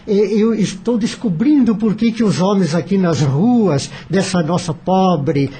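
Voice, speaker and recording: Husky, high-pitched Brazilian man. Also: measured pace, dark tone. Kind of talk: reflective discussion recounting a story.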